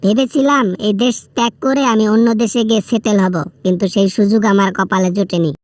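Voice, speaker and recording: A man's voice: high-pitched, fairly even intonation, fast, no echo, clean studio sound, close to an everyday voice. A man with a Bangladeshi accent playing a whiny, frightened prisoner in a comic phone-call skit, pleading, stammering and repeating himself. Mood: pleading, remorseful. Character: whiny, frightened male prisoner begging for mercy.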